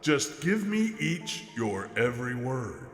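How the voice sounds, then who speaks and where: A man's voice: mid-range voice, big pitch swings, slow, a bit bright, pitched above the performer's own voice. Grandiloquent demon played by a man, drenched in reverb. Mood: delighted, flattering. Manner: grandiloquent, rhyming, exclamatory speech. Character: theatrical demon, haughty at first, then genial and flattering. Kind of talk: dramatic poem reading. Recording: long reverb with music behind.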